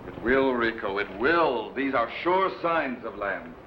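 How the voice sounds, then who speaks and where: A man's voice: medium pitch, animated, unhurried, close to an everyday voice. A man playing a steadfast ship's admiral, earnest, firm and authoritative, in old-time radio adventure style. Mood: earnest, insistent. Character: steadfast, commanding male ship's admiral and explorer. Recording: dull, faintly noisy vintage radio recording.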